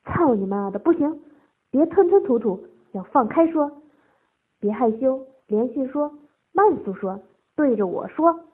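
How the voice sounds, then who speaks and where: Woman playing a loud, domineering, coarse man. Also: high voice, moderate pace, few pauses, narrow, tinny audio, pitched above the performer's own voice, close to an everyday voice. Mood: commanding. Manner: barking, bullying, foul-mouthed. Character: coarse, domineering male official, lewd and commanding.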